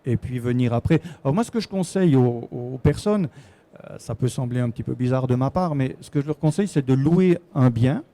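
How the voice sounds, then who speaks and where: A man with a Swiss French accent speaks as an invited expert in a live interview. His voice is very mellow and dark, at a middling pitch. He explains step by step, often repeating a word while he looks for the next one. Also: lively intonation, a little fast. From Switzerland. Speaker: guest expert on relocating to Portugal.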